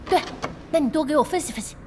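An actress playing a feisty, bossy young internet cafe boss in an audio drama, blunt and expressive. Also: female voice, high-pitched, noisy background, lightly acted. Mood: brisk, curious. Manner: blunt, expressive, quick to scold.

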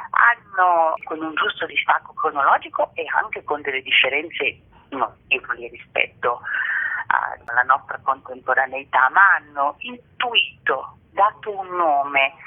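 Through a narrow, tinny telephone line comes a woman with a low, husky voice. She explains her ideas reflectively and didactically, as an articulate guest in a radio interview. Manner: articulate, didactic, uses rhetorical tag questions.

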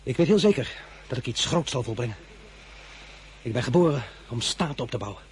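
An actor playing a confident, ambitious young officer in a radio drama. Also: male voice, mid-range voice, lively intonation, a little fast, slight background noise, close to an everyday voice. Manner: confident, grandiose. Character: young ambitious officer, grand and self-assured.

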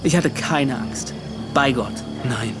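An actor playing a tormented, defensive ship's officer, recounting in an agitated, pleading and halting way in a radio drama. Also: male voice, mid-range voice, over music, lightly acted. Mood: defensive, anguished. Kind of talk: radio drama acting, confessional monologue.

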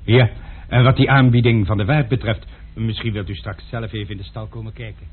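An actor playing a sly, deferential manservant who was once a pickpocket, in a radio play with dry ironic remarks. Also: male voice, lowish voice, steady tone, a little fast, slightly rough. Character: sly, unflappable male valet, former pickpocket. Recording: muffled lo-fi archive radio play.

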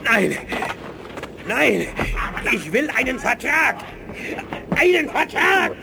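A desperate, defiant alien captive in a 1980s radio drama. His high voice creaks and lurches up and down as he protests. Clear noise rumbles behind him. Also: big pitch swings, slow, heavily acted. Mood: panicked. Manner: agitated, protesting, overwrought. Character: desperate betrayed alien prisoner, pleading and defiant. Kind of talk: 1980s German radio play acting.

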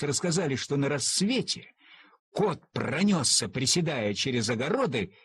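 A man reading a humorous story as an expressive, theatrical narrator who draws out words for emphasis in a husky voice. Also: mid-range voice.

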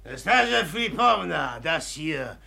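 A brooding, drunken suitor in a 1950s radio play. He is obsessed, dragging out his words in a husky voice with a hard, tense edge. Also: on the high side, big pitch swings, a little slow, pushed and bright, heavily acted. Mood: obsessed, intense.

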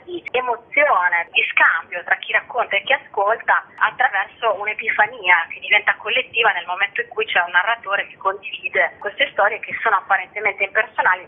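A woman's voice: medium pitch, breathy edge. A woman giving articulate, flowing explanations as an interview guest. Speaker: festival artistic director, interview guest. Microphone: telephone. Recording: tinny narrow-band telephone line.

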